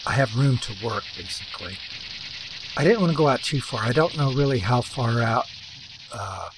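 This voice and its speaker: A man hosting a casual game commentary, rambling and halting with lots of fillers and restarts, with a voice that is going hoarse. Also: medium pitch, expressive, noisy background. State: losing his voice. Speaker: let's play host.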